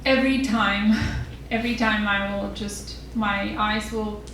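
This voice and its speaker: A woman's voice: medium pitch, level tone, measured pace, a bit bright. A woman teacher introducing student speakers at an event, heartfelt and emotional, partly scripted and partly off the cuff. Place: large echoey room, on stage. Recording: echoey hall, distant mic, faint noise.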